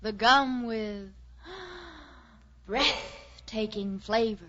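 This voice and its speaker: A woman reads a radio ad slogan, punctuated by breathy gasps. Her voice is fairly high and extremely drawn out. The old recording sounds muffled. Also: animated, very slow, sharp tone. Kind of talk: radio jingle advertising.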